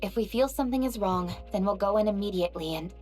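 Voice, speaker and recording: An actress voicing a cautious adult woman in a game cutscene, speaking in a measured way. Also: mid-range voice, darkish tone, close to an everyday voice. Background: game music.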